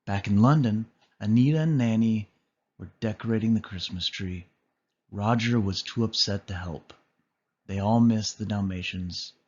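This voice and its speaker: A man reading a children's story aloud as an expressive narrator. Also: lowish voice, moderate pace, husky, highs cut off. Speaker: storybook reader.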